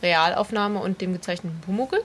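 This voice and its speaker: A woman's voice: lowish voice, brisk. A woman chatting casually as a podcast co-host, with a dry, matter-of-fact manner. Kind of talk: casual group chat.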